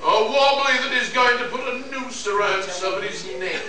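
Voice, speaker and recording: A man's voice: sits high, brisk, crowd noise, close to an everyday voice. A man playing a know-it-all scientist detective in an amateur live radio play, lecturing condescendingly and stumbling over the script. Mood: grave, explaining. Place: convention room, distant microphone. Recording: noisy echoey hall recording from far off.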